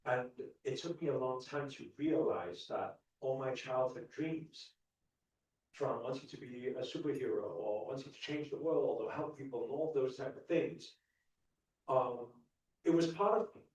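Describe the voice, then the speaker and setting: A man's voice: medium pitch, normal speed, darkish tone, recorded from a distance. A young man from the UK giving a live stage talk to an audience, earnest, asking the crowd questions and often restarting his sentences. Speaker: motivational speaker. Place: large indoor event hall. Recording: echoey hall, mic heard from afar.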